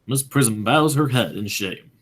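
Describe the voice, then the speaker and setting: A husky, mid-pitched narrator reads out stage directions for a radio play. The delivery is scripted narration, describing the action between speeches. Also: animated, a little slow, a bit bright.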